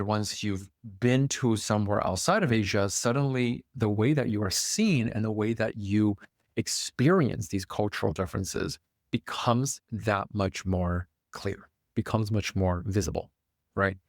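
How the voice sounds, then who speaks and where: A man's voice: on the low side, a little slow, no room sound, clean studio sound. A man born in Taiwan, hosting a solo podcast in a casual, conversational way with many fillers and restarts. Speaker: podcast host and author.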